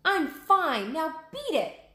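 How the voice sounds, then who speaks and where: A woman voicing a plucky, excitable young girl in peril for a children's storybook, exclaiming urgently. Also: extremely high pitch, slow, reverberant, voice pushed up, exaggerated voice. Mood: defiant. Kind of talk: children's storybook read-aloud.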